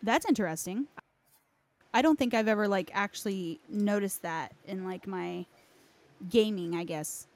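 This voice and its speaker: A woman's voice: medium pitch, measured pace, a little mellow. A woman hosting a podcast, chatting informally and recounting lore in a conversational way. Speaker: podcast host. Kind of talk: casual chat, recounting game lore.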